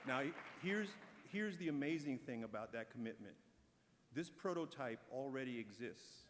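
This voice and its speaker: A man's voice: medium pitch, expressive, a faint crowd, a little hiss or hum. A man giving a prepared, encouraging speech as a corporate speaker at an awards ceremony. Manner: measured, encouraging delivery. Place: large event hall. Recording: long hall reverb.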